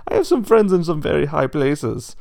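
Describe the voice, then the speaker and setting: Man putting on a shrill, entitled rich pig. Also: big pitch swings, moderate pace, vocal fry, darkish tone, no echo, clean studio sound. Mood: indignant, threatening. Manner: shrill, blustering complaining. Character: indignant wealthy male pig, entitled and dramatic.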